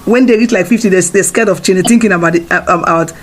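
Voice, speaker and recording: A woman presenter on a radio interview, with a voice of middling pitch. She restarts her phrases often and speaks in an emphatic, advice-giving way. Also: fast talker, slight background noise. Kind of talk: casual radio interview chat.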